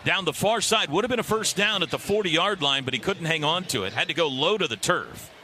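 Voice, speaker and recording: A male radio announcer with a slightly high voice calls live football play-by-play. He is in a stadium booth, with clear background noise around him. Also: expressive, fairly quick, bright, forceful tone.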